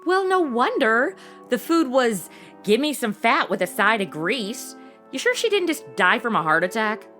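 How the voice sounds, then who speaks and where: A woman's voice: fairly high pitch, sing-song, normal speed, a bit bright, faint music behind. A young woman playing a sassy, impatient modern twenty-something in a comedic audio drama, with a sarcastic, snarky delivery. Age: young adult. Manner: sarcastic, snarky, exaggerated reactions.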